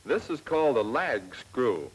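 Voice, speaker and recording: Husky American man, 1940s training film narrator. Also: medium pitch, sing-song, poor audio. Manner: clear step-by-step instructing, measured and plain. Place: scripted voice-over for film. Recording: old 1940s film soundtrack, slightly rough.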